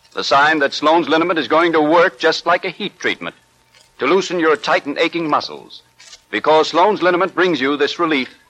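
A man's voice: on the high side, sharp tone, low-quality recording. A man announcing a sponsor message in 1940s radio style on a vintage recording.

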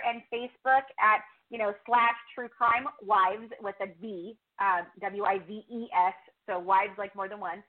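A woman podcaster and producer talking casually as a guest in an interview. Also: on the high side. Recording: muffled, band-limited call audio.

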